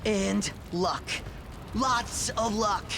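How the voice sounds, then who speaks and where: An actor voicing a goofy, playful, joking teenage ninja turtle in a cartoon video game, slangy. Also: male voice, high voice, extremely slow, vocal fry, background noise, heavily acted. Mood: nervous joking.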